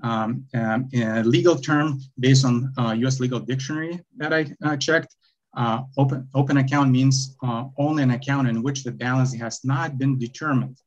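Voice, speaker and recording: Hesitant Lithuanian-accented man on a video call. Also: mid-range voice. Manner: halting, many fillers and restarts, reading case citations. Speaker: appellant arguing his own appeal. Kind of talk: remote oral argument before a court. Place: bright indoor room, video call. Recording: dull lo-fi video call audio.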